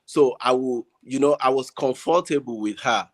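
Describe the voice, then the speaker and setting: A man with a Nigerian accent talking casually as a panel guest, haltingly repeating words as he searches for his phrasing. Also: medium pitch. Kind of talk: casual discussion. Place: online group video call.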